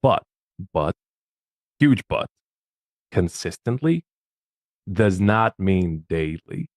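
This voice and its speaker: Low-voiced emphatic man giving advice. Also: sing-song, slow, no room sound. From Bosnia. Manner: emphatic, punchy, often repeats words. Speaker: podcast guest, social media advisor. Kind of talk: casual advice talk.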